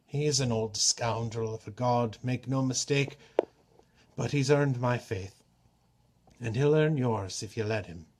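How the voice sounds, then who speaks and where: Weary old storybook father, read by a man, mid-pitched, humble, tender, plain-spoken. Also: measured pace, a little mellow, slight background noise, natural voice. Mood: wry. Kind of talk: storybook character reading.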